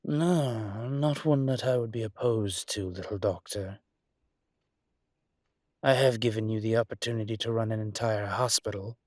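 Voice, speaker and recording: A man playing a suave, teasing dragon mafia boss in a scripted romantic audio roleplay, speaking deliberately. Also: lowish voice, darkish tone, no room sound, studio quality, close to an everyday voice. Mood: businesslike, curious.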